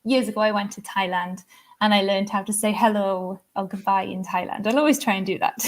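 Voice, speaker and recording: A woman's voice: medium pitch, normal speed. A young adult Welsh woman with a Welsh accent, a singer chatting as a podcast guest, talkative and laughing often. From Wales. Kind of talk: casual interview chat.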